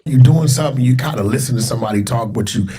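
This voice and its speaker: A man in a played interview clip, talking in a loud, boastful, streetwise way. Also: mid-range voice, fast talker, husky. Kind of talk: casual interview talk.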